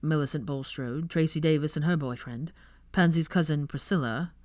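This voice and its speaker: Woman voicing a low, drawling, sardonic young wizard man. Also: moderate pace, darkish tone, dry recording, lightly acted. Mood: dry, wry. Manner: drawling, mocking, sweary.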